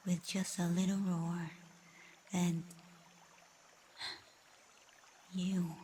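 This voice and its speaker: A woman acts out a reclusive, haughty she-dragon for an ASMR roleplay. She talks slowly and deliberately in a flat, controlled line, mocking and menacing with a streak of curiosity. Some background noise sits under the voice. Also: on the low side.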